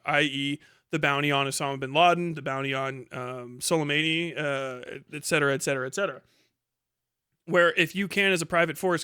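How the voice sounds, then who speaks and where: A man's voice: on the high side, pushed and bright, clear, resonant tone, no room sound, clean studio sound. A man giving casual, rambling explanatory commentary as a streamer, informal and swearing freely. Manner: informal, heavily profane.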